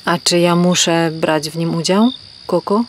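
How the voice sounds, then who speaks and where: A woman's voice: lowish voice, steady tone, measured pace, close to an everyday voice. A woman voicing a reluctant, fretful hen who clucks her questions in a children's audio play. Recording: clear outdoor cricket noise behind.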